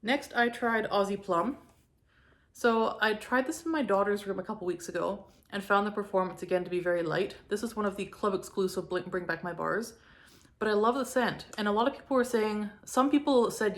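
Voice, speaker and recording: A young woman from Canada talks casually through her product reviews, enthusiastic and full of likes and ums. Her voice sits at an ordinary middle pitch, a little hoarse from a cold. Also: a little fast. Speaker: home fragrance reviewer.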